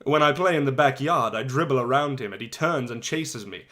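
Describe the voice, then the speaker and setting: A man voicing a chatty, wry eleven-year-old soccer-mad schoolboy in a dramatic storybook reading, conversational with animated asides and pauses. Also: mid-range voice, natural voice. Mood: proud, excited.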